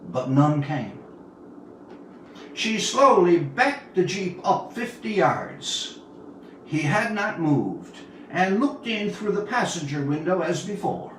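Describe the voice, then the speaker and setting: A man of about seventy from Newfoundland, Canada, reading his own tale aloud as a storyteller, with deliberate, dramatic pauses. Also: high-pitched, fairly bright tone. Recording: roomy, distant mic, faint background noise.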